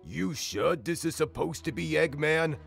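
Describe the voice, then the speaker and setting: A man voicing a gruff, impatient animal henchman in a comic dub, with a gravelly voice. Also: a little high, measured pace, fairly bright tone, faint music behind. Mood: irritated. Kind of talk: comic dub character acting.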